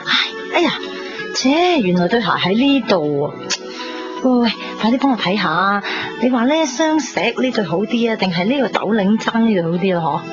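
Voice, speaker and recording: A woman's voice: mid-range voice, very animated, normal speed, close to an everyday voice. A woman playing a bubbly, vain, chatty young woman with a Hong Kong accent in a 1990s radio drama. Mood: chatty, eager. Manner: chatty, dramatic, many exclamations and particles. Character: bubbly, vain young woman fretting over party outfit. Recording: muffled 1990s radio broadcast under music.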